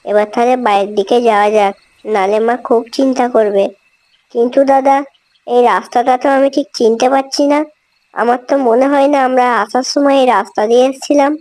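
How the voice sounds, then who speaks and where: A woman's voice: a little high, steady tone, brisk, darkish tone, close to an everyday voice. A woman playing a talkative, earnest younger sibling in an amateur horror cartoon. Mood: worried. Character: chatty, worried younger sibling lost after a village fair. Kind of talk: amateur animated horror story dialogue. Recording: music behind.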